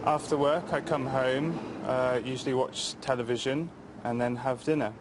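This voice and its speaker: A man's voice: mid-range voice, fairly even intonation, normal speed. Plain man outdoors by traffic. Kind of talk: interview answers.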